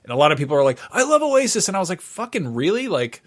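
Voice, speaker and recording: A man co-hosting a podcast in casual chat, telling stories in an animated, wry, sweary way. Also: sits high, fast talker. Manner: animated, profane, wry storytelling. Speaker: podcast co-host.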